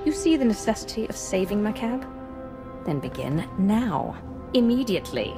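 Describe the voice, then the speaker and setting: A woman's voice: mid-range voice, very animated, normal speed, over music. A woman playing a ruthless, haughty Sith lord in a video game, giving commands with cold menace. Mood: cold, commanding. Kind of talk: scripted video game acting.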